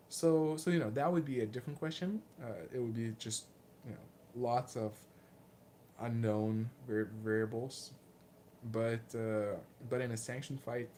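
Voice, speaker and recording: A man in his late twenties with a Lithuanian accent, casually answering viewer questions in a candid, self-deprecating, halting way. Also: medium pitch, darkish tone, slight background noise. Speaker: martial arts vlogger and fighter.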